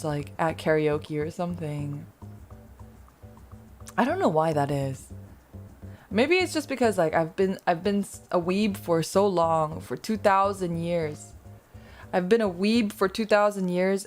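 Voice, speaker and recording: A woman's voice: fairly low pitch, a little mellow. A woman streamer chatting casually with her viewers, rambling and self-deprecating, laughing often.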